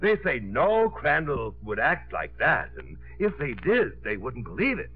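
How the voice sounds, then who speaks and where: Swooping, husky, wry gentleman suitor. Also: mid-range voice, huge pitch swings, unhurried, exaggerated voice. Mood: reproachful, wry. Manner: wry, theatrical, insistent. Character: suave, fussy suitor feigning frailty for laughs. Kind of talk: 1950s radio comedy-drama acting. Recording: tinny vintage radio broadcast, faint music.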